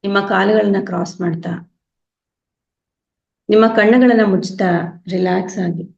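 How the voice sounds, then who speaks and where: A woman with a Kannada accent leading a guided meditation, giving calm, unhurried instructions with long pauses. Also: medium pitch, creaky. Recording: dull, echoey lo-fi room sound.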